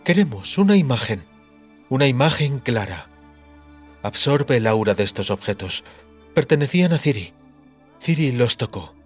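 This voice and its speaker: Audiobook high priestess , male performer: authoritative, brusque, sarcastic, lively intonation. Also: normal speed, pauses often, breathy edge, faint music behind. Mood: calm, instructing.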